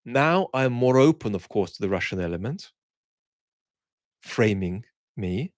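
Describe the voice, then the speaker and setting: A man hosting an online chat, talking reflectively and conversationally in articulate, opinionated phrases, often pausing and restarting words as he searches for the right one. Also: on the low side, expressive, no room sound, clean studio sound. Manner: halting, searching phrasing with repeated word starts. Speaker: host of a live chat for an online community.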